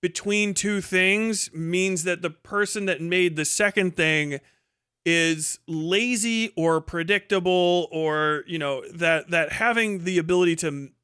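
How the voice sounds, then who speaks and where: A man's voice: sits high, a little slow, bright, forceful tone, smooth, no room sound, clean studio sound. A man hosting a livestream, giving rambling, emphatic and sweary opinionated commentary in casual speech. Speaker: livestream host.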